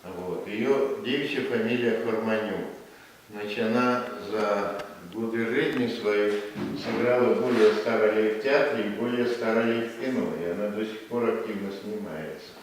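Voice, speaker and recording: A man with a fairly low voice rambles through introductions at a museum event. He is recorded from far away in a very echoey hall, with faint noise behind him. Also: normal speed. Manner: rambling, with many filler words. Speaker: presentation host. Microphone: distant room microphone.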